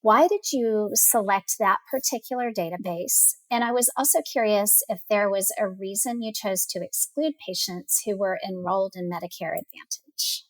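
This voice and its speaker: A woman's voice: mid-range voice, moderate pace, hardly any pauses, no echo, low-quality recording. A woman hosting a podcast interview, introducing guests and putting questions to them.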